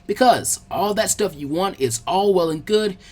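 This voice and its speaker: A man voicing a friendly, bookish teenage girl who is chatty, practical and gently bossy, in a story reading. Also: sits high, expressive, moderate pace. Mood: instructive.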